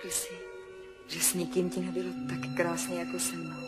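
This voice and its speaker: A woman's voice: on the low side, monotone, close to an everyday voice. An actress playing a wistful young woman in love, speaking intimately and insistently to her man in a 1970s radio drama. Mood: wistful, dreamy. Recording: music under the voice.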